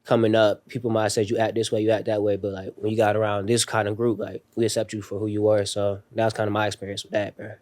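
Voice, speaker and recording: A young man speaks as a podcast guest, telling stories in a loose, slangy way full of "like" and "bro." His voice sits low and stays fairly level, with little rise and fall. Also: flat delivery, quick pace, few pauses, darkish tone. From raised overseas in a military family. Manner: laid-back, slangy storytelling with many fillers. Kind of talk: casual podcast conversation.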